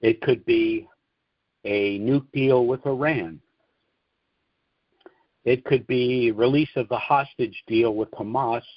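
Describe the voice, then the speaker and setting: Guy hosting a conference call, measured explainer talk w opinions, dry muffled lo-fi sound. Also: medium pitch, fairly even intonation, no room sound. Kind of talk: informal monologue commentary and briefing. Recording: dull, lo-fi conference call audio.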